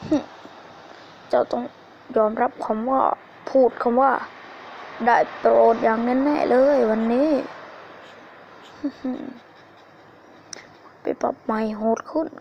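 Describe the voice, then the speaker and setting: A woman improvising a story with acted character lines, speaking haltingly and repeating phrases, on an amateur phone recording. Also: on the high side, fairly even intonation, creaky, dull audio, slight background noise.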